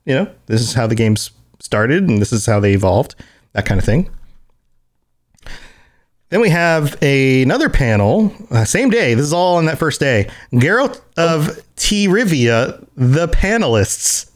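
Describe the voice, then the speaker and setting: An American man co-hosts a podcast in a relaxed, chatty way. His voice swings widely in pitch and jumps into high, excited exclamations. He reads event listings aloud between casual remarks. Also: measured pace. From United States. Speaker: podcast co-host.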